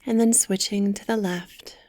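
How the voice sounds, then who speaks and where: Slow, hushed woman guiding meditation. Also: medium pitch, fairly even intonation, no echo. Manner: soft, breathy, gentle and soothing, often near a whisper. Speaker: guided meditation guide. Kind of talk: guided meditation, gentle instruction.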